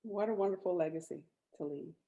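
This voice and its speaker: A woman moderating an online panel, speaking softly and graciously. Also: fairly low pitch, fairly quick, dull audio.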